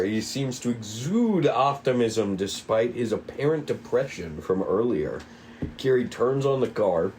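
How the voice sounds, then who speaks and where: A man's voice: fairly low pitch, darkish tone, a little hiss or hum, poor audio. A man reading stage directions aloud as the narrator of a table read.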